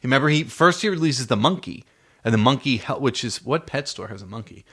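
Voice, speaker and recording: A man's voice: medium pitch, expressive, fairly quick, fairly bright tone, slightly rough. A middle-aged man co-hosting a casual movie chat with a child, asking prompting questions in an encouraging way. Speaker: podcast co-host, parent talking with child.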